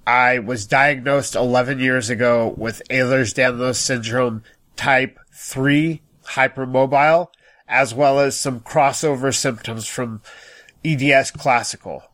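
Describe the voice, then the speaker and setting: A man in his thirties, a podcast guest, who explains things in a measured way with pauses and fillers. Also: mid-range voice, steady tone, a bit bright, no room sound. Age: 30s. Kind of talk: casual explanation.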